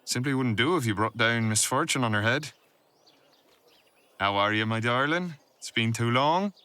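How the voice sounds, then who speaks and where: A man's voice: medium pitch, animated, moderate pace, sharp tone, smooth, no room sound. A man playing a haughty, teasing, flirtatious cousin with an arch, insinuating drawl, in a fantasy audio roleplay. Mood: mocking.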